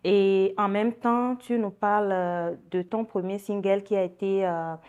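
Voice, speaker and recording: A woman's voice: medium pitch, steady tone, measured pace, a little mellow, smooth, muffled recording. A woman hosting an interview, asking friendly, chatty questions with many fillers. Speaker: show host.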